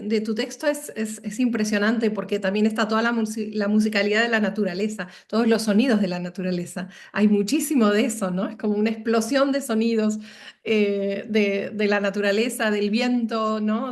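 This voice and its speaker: A woman's voice: mid-range voice, fairly even intonation, normal speed. Engaged woman literary interviewer. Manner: engaged, reads book titles aloud.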